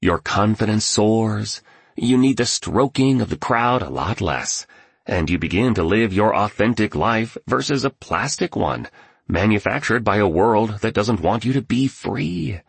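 A man voicing an eccentric, grandly encouraging billionaire mentor in an audiobook, emphatic and gravelly. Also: on the low side, animated, unhurried, dry recording, voice pushed up, heavily acted. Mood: earnest, inspiring. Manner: emphatic, exclamatory, preaching.

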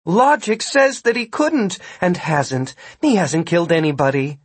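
Man voicing haughty, high-pitched villainess. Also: very animated, measured pace, husky, no echo, full character voice. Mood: indignant. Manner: defensive, haughty. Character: proud, self-justifying woman villain, cool and unrepentant.

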